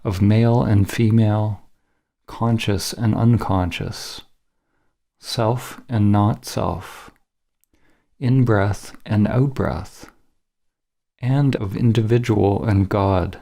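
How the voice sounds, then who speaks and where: A man narrating a scripted guided meditation in a measured, solemn, instructional manner as the guide. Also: on the low side, fairly even intonation, creaky, mellow.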